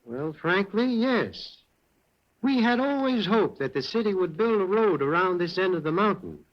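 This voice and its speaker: An actor playing a hesitant, stammering general manager in an old radio drama. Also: male voice, high voice, expressive, normal speed. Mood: uneasy. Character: nervous evasive male mill manager. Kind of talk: 1940s radio acting. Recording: dull old radio recording.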